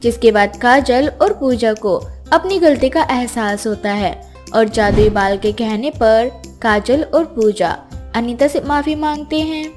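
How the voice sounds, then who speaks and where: Woman reading out a kids fairy story, high voice, music playing under her. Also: unhurried, darkish tone. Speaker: narrator. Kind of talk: scripted children's fairy tale narration. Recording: music behind the voice.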